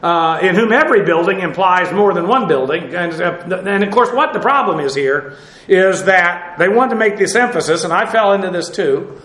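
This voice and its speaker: A man with a notably high voice teaches through a sermon verse by verse, pausing often on fillers and restarting his phrases. He is recorded in a reverberant church hall. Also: animated, fairly bright tone. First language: English. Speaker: pastor. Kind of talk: expository sermon. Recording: echoey room.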